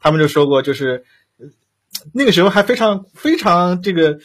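A man chatting as a livestream panelist, halting and repeating himself as he searches for words. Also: high-pitched, expressive. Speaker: talk show panelist. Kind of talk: casual livestream discussion.

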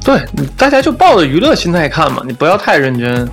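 Creaky, lively higher-voiced man. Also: brisk, faint music behind. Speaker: music podcast co-host. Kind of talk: casual chat.

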